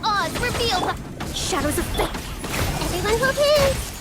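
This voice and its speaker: Very high-pitched anime battle girl. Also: lively intonation, a little slow. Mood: bold, excited. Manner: theatrical, exclamatory delivery. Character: spirited anime girl fighters calling out battle attacks. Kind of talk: anime video game battle barks. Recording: loud game music over the voice.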